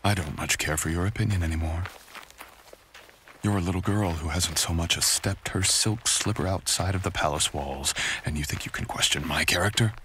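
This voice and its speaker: A man playing a menacing ronin, extremely deep. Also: moderate pace, sharp tone, slight background noise. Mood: contemptuous. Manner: cold, threatening, mocking, partly whispered. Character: dominant, menacing male samurai ronin abductor. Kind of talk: boyfriend ASMR roleplay.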